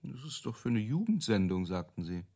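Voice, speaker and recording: A man's voice: on the low side, sing-song, fairly quick, creaky, dark tone, breathy edge, exaggerated voice. An actor playing a cool, self-assured businessman being interviewed in a radio drama, speaking assertively and tersely. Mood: matter-of-fact. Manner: terse, assertive, jargon-heavy. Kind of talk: naturalistic radio drama dialogue.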